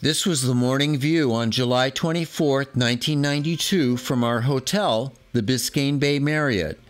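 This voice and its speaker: A man in his sixties reading a scripted voice-over in a measured, informative way. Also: mid-range voice. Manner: measured, informative, fond of the subject. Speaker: documentary narrator.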